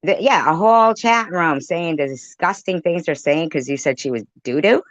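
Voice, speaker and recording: Animated, indignant commentator, dull lo-fi sound. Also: medium pitch, normal speed, no room sound. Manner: indignant, swearing, repeats phrases for emphasis. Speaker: commentator reacting to a played livestream clip. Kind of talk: off-the-cuff reaction commentary.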